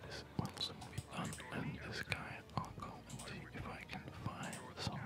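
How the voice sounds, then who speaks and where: A person whispering ASMR gameplay commentary, hesitantly thinking aloud as the host of a gaming video. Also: moderate pace.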